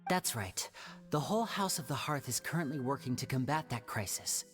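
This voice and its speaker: A man playing an earnest, polite and composed young man in an anime video game, explaining things in a measured, sincere way. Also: mid-range voice, animated, fairly bright tone, natural voice. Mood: solemn. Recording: music clearly playing behind the voice.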